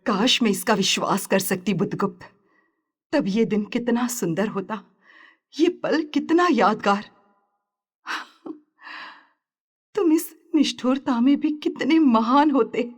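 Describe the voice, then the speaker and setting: Woman playing a slow, sorrowful island heroine. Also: a little high, slightly rough. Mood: wistful. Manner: solemn, measured, emotive. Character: sorrowful, dignified young island heroine, torn in love. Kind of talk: Hindi literary audio story drama.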